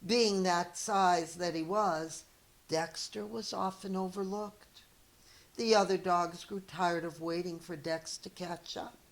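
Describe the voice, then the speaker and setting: A middle-aged woman reads a picture book to children like a schoolteacher. Her voice sits slightly low and she goes very slowly and deliberately. She tells it expressively, adding small chatty asides. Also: fairly even intonation. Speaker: elementary school teacher reading a story.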